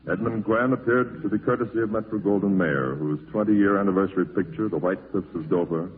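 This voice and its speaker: A man's voice: low-pitched, brisk. A man announcing in formal 1940s radio style, reading as if from a script, on a tinny old recording.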